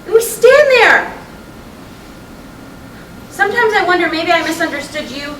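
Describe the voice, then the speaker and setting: A woman's voice: very high-pitched, animated, normal speed. A woman playing a frustrated, restless middle-aged wife in a staged play reading, insistent and emotional as she urges her husband on. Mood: agitated. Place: roomy hall, staged reading at a college. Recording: distant echoey stage recording with noise.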